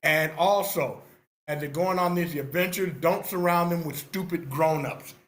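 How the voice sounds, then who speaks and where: A man's voice: a little high, moderate pace, a bit bright. A man in his sixties giving casual, opinionated and direct spoken feedback as a script reviewer. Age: 60s.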